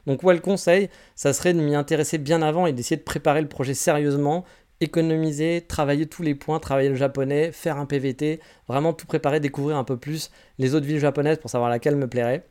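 Fast-talking French man in his forties. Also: fairly high pitch, steady tone, a little mellow, clean tone. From France. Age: 40s. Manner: candid, rambling advice with frequent restarts. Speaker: podcast host. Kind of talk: casual solo podcast answering listener questions.

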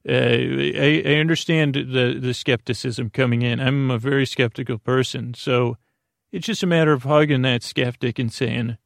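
A man with a creaky voice hosting a sleep podcast, delivering a meandering, halting bedtime monologue that trails off into tangents. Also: mid-range voice, steady tone, no echo, studio quality. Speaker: podcast host.

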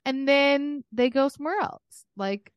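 An American woman in her thirties chatting casually as a podcast co-host, rambling and quick to laugh. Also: sits high, no room sound, dull audio. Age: 30s. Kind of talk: casual chat.

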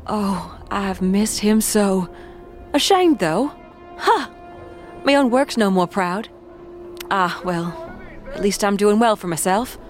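A woman's voice: mid-range voice, animated, takes it slowly, pauses often, soft background music. A woman playing a young fantasy street worker in an audio drama, guarded yet caring, with street-wise phrasing. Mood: wistful.

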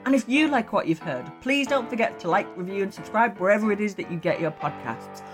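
A woman with a Yorkshire accent hosting a podcast, asking questions and signing off with enthusiasm and warmth. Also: mid-range voice, animated, fairly quick, music in the background. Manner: enthusiastic, friendly. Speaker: podcast host. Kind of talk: podcast interview and outro.

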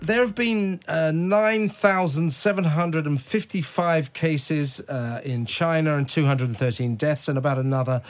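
A man's voice: on the high side, unhurried, hardly any pauses. A man giving an expert radio update, steadily reciting figures with small hesitations. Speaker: medical expert guest.

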